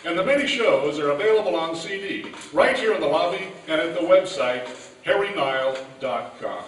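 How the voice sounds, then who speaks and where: A man announces in a scripted, old-time radio style from a live stage. His voice sits fairly high. It carries through an echoey hall, heard some way from the mic with faint noise. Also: expressive, moderate pace, distant mic.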